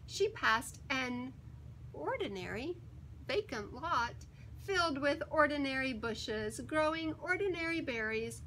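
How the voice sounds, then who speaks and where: Woman, children's storyteller, slow delivery, emphatic and theatrical, dramatic pauses, faint-to-clear background noise. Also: a little high, sharp tone, rough recording. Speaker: storyteller reading a children's picture book aloud. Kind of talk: expressive read-aloud for young children.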